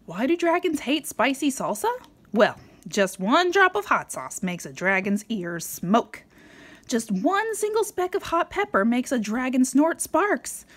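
Woman, high, very lively storybook read-aloud. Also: unhurried. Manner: playful, expressive, with dramatic emphasis. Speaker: storybook reader. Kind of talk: animated read-aloud to a young child.

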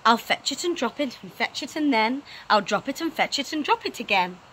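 A woman reads as a playful storybook dog, excitable and eager. The voice is bright and fairly high, repeating itself keenly. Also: brisk, breathy edge, full character voice, poor audio. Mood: excited. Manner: eager, repetitive.

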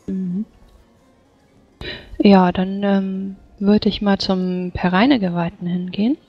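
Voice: medium pitch, fairly even intonation, takes it slowly, soft background music. A tabletop roleplaying player improvising in casual, polite chat on a lo-fi voice channel.

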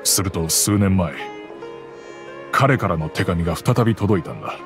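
An actor playing a gentle, regretful middle-aged merchant father who calmly recounts the past in a game cutscene. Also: sits low, moderate pace, over music. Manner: calm, reflective storytelling.

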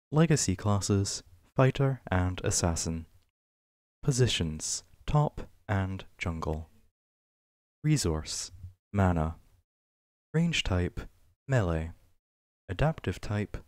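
A man with a fairly low voice reads written entries as ASMR, very slowly and with deliberate pauses. His pitch rises and falls noticeably from item to item, and the recording is close and dry. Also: big pitch swings, darkish tone, no echo. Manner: measured, list-like reading with pauses. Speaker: ASMR reader. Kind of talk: ASMR reading of a game wiki page.

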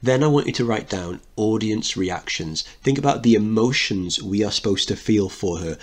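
A man's voice: lowish voice, moderate pace. A man teaching a recorded literature lesson, explaining a play and posing questions to students. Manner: explanatory, checks understanding with questions.

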